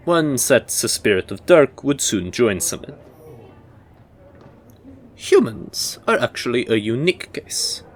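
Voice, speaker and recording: Man acting as a slow, low-voiced scholarly professor. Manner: didactic, formal, deliberate. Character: measured, scholarly male university professor in a fantasy world. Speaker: professor giving a history lecture. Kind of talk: scripted audio roleplay lecture.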